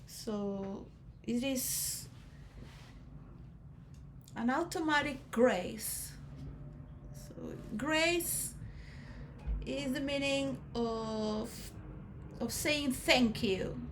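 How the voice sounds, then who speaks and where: A woman giving a rambling philosophical monologue to role players, shifting between soft musing and loud emotional outbursts. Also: high voice, extremely slow, long pauses, slight background noise. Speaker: speaker addressing role players.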